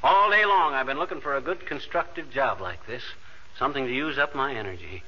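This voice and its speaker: A folksy, boastful handyman neighbour in a 1940s radio comedy, huffing and wisecracking as he goes. His pitch is middling. The recording is dull and clearly noisy. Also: lively intonation, fairly quick, bright, forceful tone. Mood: weary, self-satisfied.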